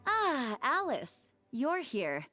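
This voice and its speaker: A woman's voice: high-pitched, huge pitch swings, extremely slow, bright, forceful tone, faint music behind, exaggerated voice. An actress playing a dutiful, polite female knight in authority in a video game, formal and firm. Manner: formal, apologetic but firm.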